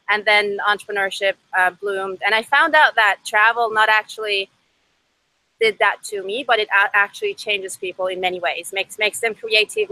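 A woman's voice: medium pitch, pushed and bright, low-quality recording. A young woman with an Iranian accent, a native Farsi speaker, telling her own story conversationally as a podcast guest. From Iran. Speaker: podcast guest, startup co-founder. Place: outdoors by a river. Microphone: video call.

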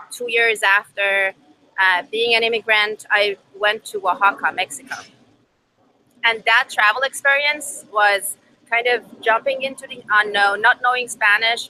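Bright-voiced young Iranian woman, conversational. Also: medium pitch, a little hiss or hum, poor audio. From Iran. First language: Farsi. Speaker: podcast guest, startup co-founder. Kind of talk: conversational personal storytelling. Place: outdoors by a river. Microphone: video call.

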